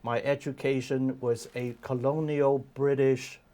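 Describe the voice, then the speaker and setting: A man around seventy, from Hong Kong, explaining history in a measured way with many fillers in a TV studio interview. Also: mid-range voice, flat delivery, dark tone. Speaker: guest commentator. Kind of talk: casual interview talk.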